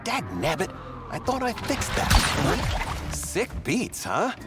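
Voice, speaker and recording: A man's voice: high voice, wildly swooping pitch, slow pace, over loud music, full character voice. An actor playing an eccentric, self-important male scholar and DJ in a game, with a wordy, grandiose showman's delivery. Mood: smug, breezy. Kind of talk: animated game voice acting.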